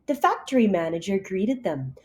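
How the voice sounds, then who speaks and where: A woman reading a picture story aloud to kindergartners in an expressive, deliberate teacher's manner. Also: mid-range voice. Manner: animated, deliberate storytelling for young children.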